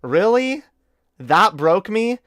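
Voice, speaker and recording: A man from Canada hosting a casual stream, reacting to his own old clips and breaking into high-pitched laughter. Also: sing-song, slow pace, a bit bright, no room sound.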